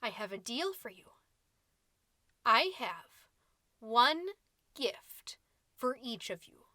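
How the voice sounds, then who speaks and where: A woman's voice: fairly high pitch, sing-song, slow pace, pushed and bright, no echo, studio quality, full character voice. A woman playing a catty, indignant mom who hosts a podcast, delivering a sarcastic, melodramatic comedic monologue. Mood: scheming. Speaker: podcast host.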